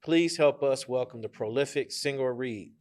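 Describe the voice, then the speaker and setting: A man hosting a podcast, reading a scripted introduction and then asking relaxed interview questions. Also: mid-range voice, normal speed. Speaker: podcast host.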